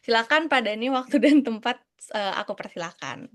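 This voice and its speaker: A woman's voice: fairly high pitch, expressive, brisk. A woman moderating an online webinar in a friendly, informal way. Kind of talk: hosting a webinar. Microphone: video call.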